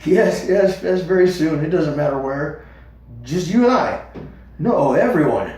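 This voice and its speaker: A man's voice: a little high, expressive, a little fast, vocal fry, a little mellow. A man voicing a small, nervous young male rabbit seer who pleads and stammers. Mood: frightened, urgent. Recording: echoey room, far from mic.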